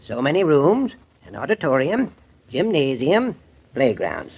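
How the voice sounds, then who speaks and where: A man's voice: on the high side, very animated, unhurried, slightly rough, heavily acted. An actor playing a shrewd, folksy small-town selectman with a drawling rural way of talking, in a 1940s radio play. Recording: muffled vintage radio recording.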